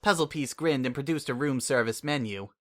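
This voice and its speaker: A man reading a fantasy story aloud in a relaxed, conversational first-person narration. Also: medium pitch, a little fast. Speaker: story reader. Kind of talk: dramatic audiobook narration.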